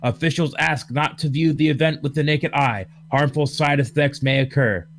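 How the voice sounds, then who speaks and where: A man voicing a formal automated emergency alert in an amateur radio drama, slow and official. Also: on the high side, fairly even intonation, natural voice. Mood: stern, official.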